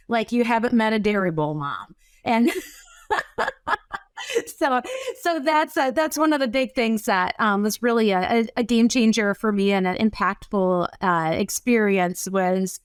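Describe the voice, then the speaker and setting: A woman's voice: medium pitch, clear, resonant tone, no room sound, studio quality. A middle-aged woman from northern Wisconsin, with that accent, speaking as an interview guest. She talks in a chatty, enthusiastic way with long runs of words and filler "uh"s. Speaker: podcast guest, dairy coach. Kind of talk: casual interview chat.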